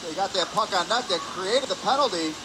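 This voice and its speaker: A man's voice: sits high, expressive, brisk. A man giving conversational, explanatory color commentary on live hockey from an ice rink. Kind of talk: live sports analysis. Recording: clear background noise, arena ambience.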